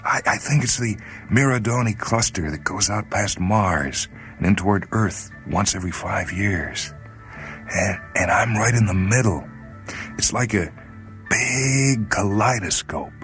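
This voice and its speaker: Doomed spaceman by a male reader, lifted hushed voice full of wonder, halting, over music in a story drama. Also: lowish voice, lively intonation, voice pushed up. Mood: awed, dazed. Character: awestruck male spaceman drifting away to his death. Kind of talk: dramatic short-story character reading.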